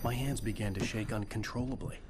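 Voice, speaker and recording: An actor playing a troubled, haunted male writer, giving grave, measured first-person narration in a video game. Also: fairly low pitch, fairly even intonation, heavy background noise, close to an everyday voice.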